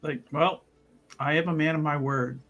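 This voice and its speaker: A man playing a tabletop roleplaying game, casually describing his character's actions in an informal, halting way. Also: fairly high pitch, steady tone, a bit bright, dull audio. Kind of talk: casual improvised character narration.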